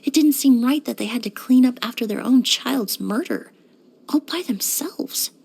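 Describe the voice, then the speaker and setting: A woman playing a courteous, composed young woman in a video game, speaking politely and earnestly with careful, measured wording. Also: a little high, vocal fry, a little mellow, breathy edge. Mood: somber, subdued. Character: poised, courteous young woman perfumer and forensic cleaner. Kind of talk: scripted video game character voice lines.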